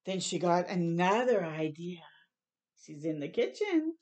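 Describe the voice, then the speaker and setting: A woman reading a children's storybook aloud expressively, adding small asides and dramatic, drawn-out, hushed phrases. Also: lowish voice, big pitch swings.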